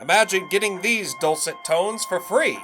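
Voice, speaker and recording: A man's voice: sits high, normal speed, over music. A man playing a pompous young radio salesman. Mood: boastful. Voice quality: pompous, showy old-fashioned patter. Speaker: radio announcer character. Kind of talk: 1920s-style audio drama.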